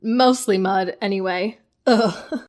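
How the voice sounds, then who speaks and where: A woman playing a wry, gently bossy young wife with a teasing, conversational manner in an audio drama. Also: mid-range voice, slow, natural voice. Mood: wry, fond.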